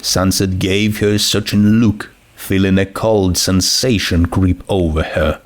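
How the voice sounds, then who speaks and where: A man's voice: deep voice, steady tone, unhurried. A man reading scripted fiction aloud as the narrator.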